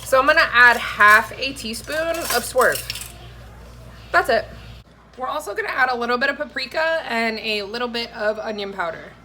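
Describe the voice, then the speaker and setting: Chatty woman in her 30s doing a cooking vlog, very bright voice, bit of background noise. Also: a little high, normal speed. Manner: chatty, explanatory. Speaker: cooking vlogger. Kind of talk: casual recipe vlog. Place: home kitchen. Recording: faint background noise in kitchen.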